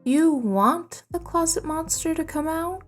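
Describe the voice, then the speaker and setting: A woman voicing a patient, gentle mother who soothes her young son, in a storybook reading. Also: very high voice, measured pace, faint music behind, pitched above the performer's own voice.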